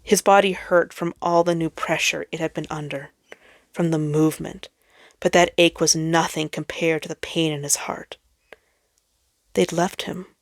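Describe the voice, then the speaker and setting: A woman reading a story aloud as a dramatic narrator, theatrical and emphatic, with phrases that trail off softly. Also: low-pitched, steady tone, moderate pace, no echo, clean studio sound. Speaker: fan fiction reader.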